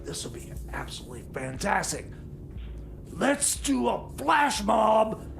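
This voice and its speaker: A man playing an excitable, scheming time machine mechanic in a radio comedy, stammering and repeating his words in a flustered way. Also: high voice, measured pace, creaky, a little hiss or hum, heavily acted. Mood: excited scheming. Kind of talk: improvised radio comedy sketch.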